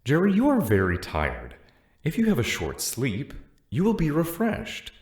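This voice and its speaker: A man playing a calm, persuasive telepath in a sci-fi audio drama, giving deliberate, soothing suggestions. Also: fairly high pitch. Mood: soothing persuasion. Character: calm, persuasive male telepathic mind manipulator. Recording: roomy echo, faint music behind.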